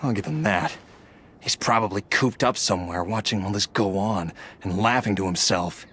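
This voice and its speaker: An actor playing a resentful, entitled grown son in a video game, with a bitter, sneering, self-justifying manner. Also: male voice, lowish voice, normal speed, fairly bright tone, slight background noise, natural voice. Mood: scornful.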